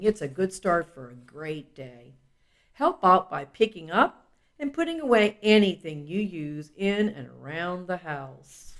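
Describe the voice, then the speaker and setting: Female audiobook reader, lowish voice, very varied intonation, instructive children's narration addressed straight to the child. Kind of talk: scripted narration of a children's advice book.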